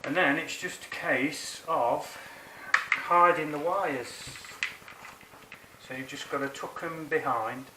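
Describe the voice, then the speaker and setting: A man's voice: a little high, slow. A man giving a casual, chatty hands-on tutorial in informal, colloquial language. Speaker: motorbike rider presenting a how-to video. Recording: roomy, distant mic, clear background noise.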